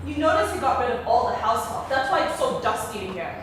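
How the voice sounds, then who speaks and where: Snarky suspicious rich daughter in a play, high swooping voice, big echoey hall. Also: female voice, big pitch swings, quick pace. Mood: exasperated. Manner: sarcastic, pointed. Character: outspoken, suspicious adult daughter of wealthy businessman. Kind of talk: stage play acting. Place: theatre stage. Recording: echoey theatre hall, distant, noisy.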